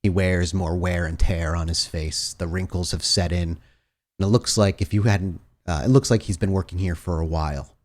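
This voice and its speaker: A man's voice: deep voice, steady tone, moderate pace. A man working as game master, narrating a tabletop game in dramatic, cinematic scene-setting descriptions.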